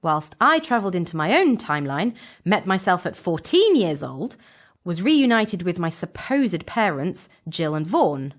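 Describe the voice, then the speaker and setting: A woman's voice: on the low side, sing-song, normal speed. A woman playing a frank young time-travelling companion, narrating an audio drama recap with dramatic storytelling delivery. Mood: sombre.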